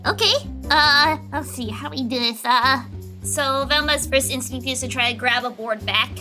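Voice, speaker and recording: A woman's voice: high-pitched, moderate pace, pushed and bright, faint music behind. A woman chatting casually and a little hesitantly as a player in an improvised tabletop game. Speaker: player in tabletop role-playing podcast.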